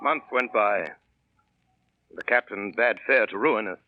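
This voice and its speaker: An actor playing a man who gravely recalls his boyhood as narrator of a 1930s radio drama, measured and dramatic. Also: fairly low pitch, noisy background, natural voice. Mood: grave, haunted. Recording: muffled 1930s radio recording with music.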